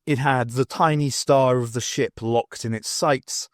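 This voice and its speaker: A man's voice: medium pitch, steady tone, unhurried, no room sound, clean studio sound. A man reading scripted dramatic narration of a science fiction story as an audiobook narrator. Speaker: audiobook reader.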